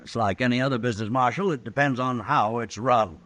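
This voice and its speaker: A man's voice: medium pitch, a little fast, a bit bright, no room sound, close to an everyday voice. An actor playing a genial, scheming frontier businessman in a 1950s radio western, affable and overly polite. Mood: glib.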